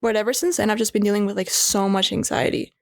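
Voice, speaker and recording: A woman's voice: mid-range voice, steady tone, quick pace, vocal fry, dry recording, studio quality. Chatty young woman, slight Venezuelan accent. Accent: Venezuelan Spanish. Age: young adult. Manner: chatty, heavy use of "like" fillers. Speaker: guest. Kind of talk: casual conversational interview.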